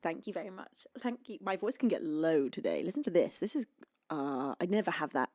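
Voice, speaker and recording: A British woman, a singer-songwriter, chatting softly with her live stream audience between songs, with piano under her voice. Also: on the low side, sing-song, brisk, dry recording, studio quality. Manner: soft, chatty between songs, sings gently.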